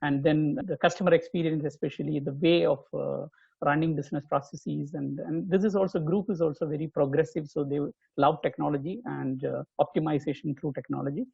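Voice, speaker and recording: A man's voice: on the high side, fairly even intonation, brisk, vocal fry, mellow, breathy edge, no room sound, highs cut off. A man with an Indian accent in his fifties, speaking earnestly over a video call with many fillers. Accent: Indian English. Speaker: guest, chief information officer. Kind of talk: casual video call chat.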